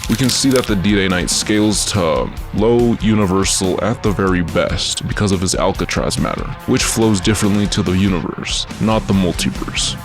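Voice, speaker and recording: Male video essay narrator, low register, informal scripted explainer read, clear music bed underneath.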